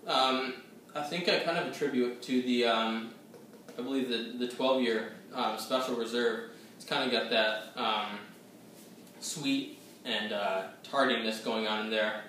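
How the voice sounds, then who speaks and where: A man's voice: medium pitch, steady tone, measured pace, sharp tone, a little hiss or hum. A young man in his twenties giving a casual whiskey tasting review to the camera, rambling and hesitant with many fillers, seated at a table in a small room at home. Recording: echoey room, voice a bit distant.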